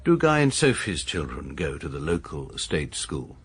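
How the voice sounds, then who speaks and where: An actor playing a short, pompous, detached middle-aged barrister who narrates his own troubles in a measured, sarcastic manner for a radio comedy drama. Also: very deep voice, big pitch swings, breathy edge, exaggerated voice. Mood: dry.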